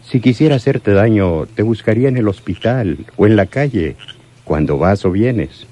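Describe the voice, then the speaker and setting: An actor playing a menacing, lovesick crime boss in a radio drama, speaking deliberately and bitterly. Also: male voice, fairly low pitch, animated, mellow. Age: older. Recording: noisy old radio drama recording.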